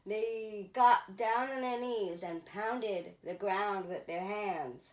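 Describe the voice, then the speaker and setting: Slow-paced woman reading a children's story. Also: mid-range voice, echoey room. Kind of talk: children's story read-aloud.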